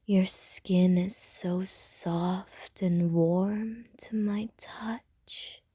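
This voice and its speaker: A woman voicing a flirtatious, hungry female vampire in an ASMR audio roleplay, whispering softly and teasingly. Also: lowish voice, fairly even intonation, extremely slow, slightly rough, dry recording, clean studio sound, exaggerated voice. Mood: sultry whisper.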